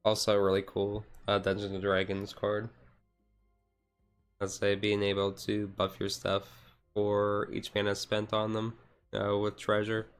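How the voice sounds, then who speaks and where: A low-voiced man talks casually on a chill livestream with very little rise and fall. He rambles in a low-key, hesitant way, with music playing behind him. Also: completely flat delivery, a little slow, smooth. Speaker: streamer. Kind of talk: casual chat, ASMR-style chill livestream.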